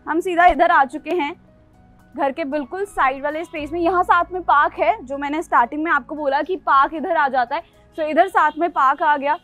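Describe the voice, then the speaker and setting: A woman's voice: sits high, fairly bright tone. A young woman hosting a house tour in a chatty, conversational way, speaking straight to viewers and mixing in English words. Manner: conversational, addressing viewers directly. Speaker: property tour host. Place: large house, its covered poolside and garden.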